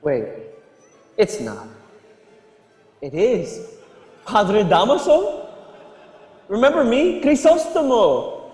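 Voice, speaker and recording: An actor playing a polite, earnest young gentleman in a radio drama, courteous and apologetic. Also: sits high, big pitch swings, slow pace, pauses often, soft background music, exaggerated voice. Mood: eager surprise. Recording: echoey hall-like reverb.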